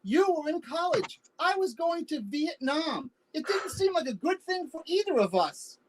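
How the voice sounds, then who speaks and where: A woman playing a defensive, evasive older husband, a Vietnam veteran, halting and exasperated in a naturalistic play reading. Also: extremely high pitch, sing-song, noisy background. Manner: halting, defensive, repeats words. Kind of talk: virtual staged play reading, naturalistic dialogue.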